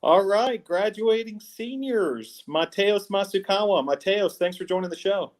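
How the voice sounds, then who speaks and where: A man's voice: sits high, animated, a little slow. Upbeat middle-aged man hosting a video call. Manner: upbeat, many uh fillers. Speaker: host. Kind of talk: college sports video-call show. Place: office with wall logo.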